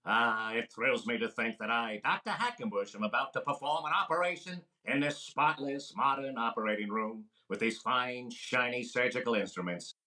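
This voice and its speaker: A sharp, wisecracking quack doctor. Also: male voice, medium pitch, normal speed, few pauses, low-quality recording. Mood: mock-grandiose. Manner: wisecracking, mock-grandiose. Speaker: actor. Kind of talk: old-time radio comedy impression with comic patter song.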